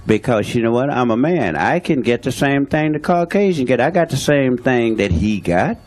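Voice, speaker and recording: A man's voice: mid-range voice, animated, moderate pace, a little mellow, slight background noise. A man, speaking bluntly and emphatically as a guest on a talk show and repeating his points. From Louisiana, USA. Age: 60s. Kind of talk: impassioned talk show statement.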